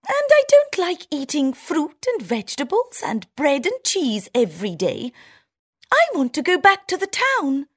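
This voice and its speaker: Squeaky excitable town mouse. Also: female voice, measured pace, heavily acted. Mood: excited. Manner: shrill, urgent squeals.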